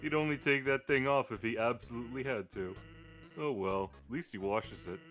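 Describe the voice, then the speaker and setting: A man voicing a laid-back, joking skeleton from a video game, with a casual, wry deadpan manner. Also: mid-range voice, normal speed, close to an everyday voice. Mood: easygoing. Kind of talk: live character voicing of video game dialogue. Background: game music.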